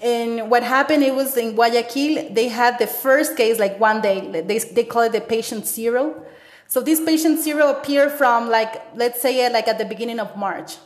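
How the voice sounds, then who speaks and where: A woman in her thirties speaks English with an Ecuadorian Spanish accent, her first language clearly Spanish. She tells stories in a lively way, with fillers and quick asides. Her voice sits fairly high and rings in an echoey room, as if heard over a call. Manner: animated, gestures with stories, frequent fillers. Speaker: interviewee, teacher. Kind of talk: conversational interview.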